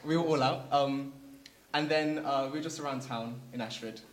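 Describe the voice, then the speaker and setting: A man's voice: medium pitch, normal speed, roomy sound. A young man telling a story on a panel game, hesitant with many ums. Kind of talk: casual anecdote.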